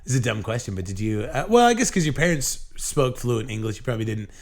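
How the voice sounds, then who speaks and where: A man hosting a casual podcast, speaking haltingly with frequent restarts and casual swearing. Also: medium pitch, sing-song. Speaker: podcast host.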